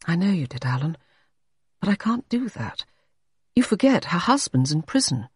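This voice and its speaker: A reader voicing an anxious, meek woman in an audiobook story, halting and apologetic as she tries to please. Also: medium pitch, very animated, a little mellow, breathy edge, dry recording, studio quality, pitched above the performer's own voice. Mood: pleading, uneasy.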